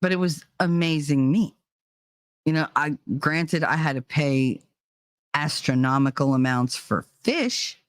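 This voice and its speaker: A woman's voice: sits low, no echo. Blunt middle-aged American woman, close dry sound. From New Jersey, United States. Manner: blunt, sweary, teasing. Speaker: live talk show host. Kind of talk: casual chat with listeners.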